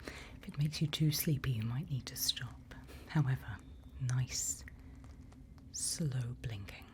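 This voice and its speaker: A woman performing a whispered ASMR roleplay as a calm night-watch guide, breathy, instructive and reassuring, close to the microphone. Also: rumbling low, slow pace. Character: calm female night-watch guide in a dark monster-filled forest. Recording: faint background ambient noise.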